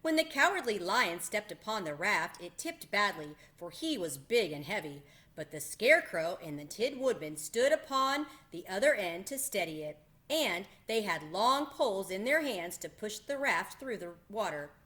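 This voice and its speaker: A woman with a bright, forward voice reads a children's chapter book aloud. Her register is medium and her storytelling expressive. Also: mid-range voice, normal speed. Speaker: read-aloud host.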